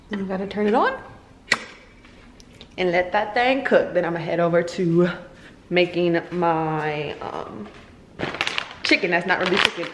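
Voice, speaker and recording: A young woman in her twenties, chatting playfully to viewers as she cooks at home, filmed by a camera on the counter. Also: mid-range voice. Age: 20s. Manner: chatty, playful, talks to viewers while cooking. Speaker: vlogger. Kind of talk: casual cooking vlog chat. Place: home kitchen, indoors. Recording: echoey kitchen with frying noise.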